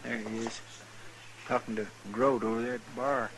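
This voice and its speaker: An actor playing a folksy, earnest deputy sidekick with a rural American drawl in a radio western. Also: male voice, mid-range voice, level tone, normal speed, natural voice. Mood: doubtful. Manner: folksy, homespun. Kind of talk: old-time radio western acting. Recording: very noisy vintage radio recording.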